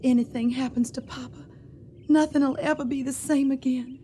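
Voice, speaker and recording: An actress playing a devoted, anxious young daughter in a radio drama. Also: female voice, high-pitched, fairly even intonation, moderate pace, mellow, a little hiss or hum, lightly acted. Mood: worried, tender.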